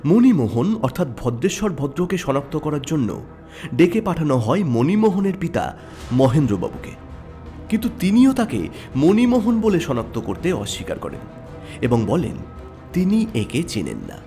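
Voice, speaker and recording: A man's voice: a little high, wildly swooping pitch, moderate pace, warm tone, faint music behind. A man narrating and presenting a scripted mystery story as its storyteller.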